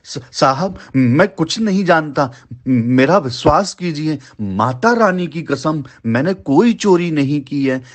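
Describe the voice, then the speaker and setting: A man's voice: a little high, expressive, slow pace. A man voicing a humble, wronged poor servant in a moral story, pleading and faltering. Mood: frightened pleading. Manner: pleading, stammering. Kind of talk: animated moral-story voice acting.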